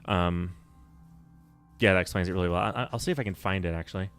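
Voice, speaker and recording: Low-voiced nerdy male streamer. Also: fast talker, fairly bright tone, smooth, faint music behind. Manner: rambling, self-correcting, nerdy, laughs often. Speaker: livestreamer. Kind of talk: casual livestream chat while playing.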